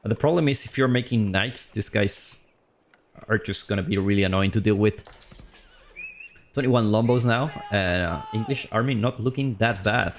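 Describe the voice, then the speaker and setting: A man streaming live commentary on a strategy game match. He is chatty and loose, and his voice leaps up on big plays before settling back to calm explaining. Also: normal speed, a little hiss or hum. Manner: excitable, voice jumps high on big plays, then calm explaining; loose and chatty, with fillers and restarts.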